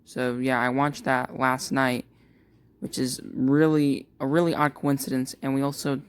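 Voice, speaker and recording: A grieving man talking to camera in a vlog, in a monotone, halting with many fillers and restarts. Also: mid-range voice. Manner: monotone, uninteresting voice. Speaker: vlogger. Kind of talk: casual talk to camera.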